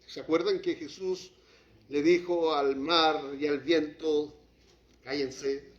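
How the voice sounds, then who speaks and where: A man preaching a sermon as pastor, shifting from quiet, low passages to raised, heated lines. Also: fairly even intonation, takes it slowly. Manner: impassioned preaching, drops to quiet, then rises to acted outbursts. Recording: echoey hall, muffled lo-fi sound.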